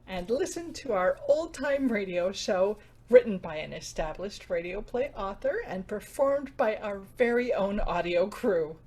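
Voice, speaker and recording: Woman playing an animated, eager radio organizer. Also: mid-range voice, unhurried, hardly any pauses, slightly rough. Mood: excited. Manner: excitable, bossy, animated.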